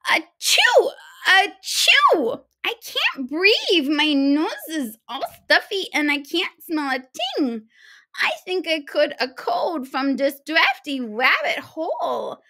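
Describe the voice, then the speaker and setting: A woman's voice: sits high, huge pitch swings, slow, bright, forceful tone, voice pushed up, exaggerated voice. A woman voicing a bossy, self-centered big little girl in a storybook, with a whiny, demanding, exclamatory manner. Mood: whiny, complaining.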